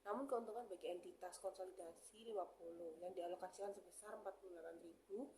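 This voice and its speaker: A young woman reading out a class presentation haltingly, stumbling over English terms. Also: fairly low pitch, fairly even intonation, darkish tone. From Indonesia. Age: young adult. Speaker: student presenter. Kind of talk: class presentation, reading accounting slides aloud. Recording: roomy echo, faint background noise.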